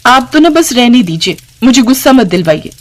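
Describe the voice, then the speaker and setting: A woman plays a sharp-tongued village wife in an animated family drama, greedy and manipulative. Her voice is mid-pitched, moving between nagging and wheedling. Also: moderate pace, close to an everyday voice. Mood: irritated. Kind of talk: acted dialogue in a narrated story.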